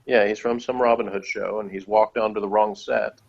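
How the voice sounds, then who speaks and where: A man's voice: fairly low pitch, fairly even intonation, fairly quick, vocal fry, a little mellow. A man reacting casually to a TV episode, wry and bluntly opinionated, in slightly muffled sound. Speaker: co-host of a TV episode review commentary.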